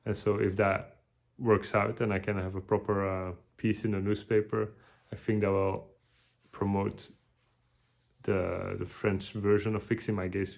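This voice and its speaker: A tired man, giving a halting, casual video update with many restarts. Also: on the low side, vocal fry, darkish tone. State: tired, burned out. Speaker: vlogger.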